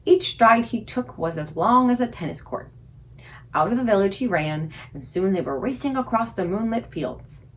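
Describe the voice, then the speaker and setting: A woman reading a children's story aloud expressively, with dramatic, suspenseful emphasis and deliberate pauses. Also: medium pitch, fairly bright tone, poor audio. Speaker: librarian reading aloud for a book club.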